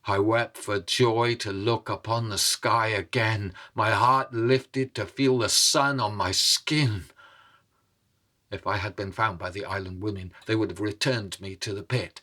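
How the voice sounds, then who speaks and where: A man telling a tale as a storyteller, in a measured, theatrical way with suspenseful pauses. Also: on the low side, steady tone, sharp tone. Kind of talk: dramatic oral storytelling over music. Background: instrumental music.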